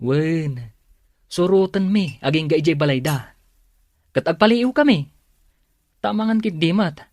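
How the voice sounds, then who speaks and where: A man's voice: on the high side, expressive, unhurried, a little mellow, no echo, studio quality. A man with an Ilocano accent acting as a sly friend who investigates for his pal in a radio drama. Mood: urging. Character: Magno, sly friend investigating a suspected cheater.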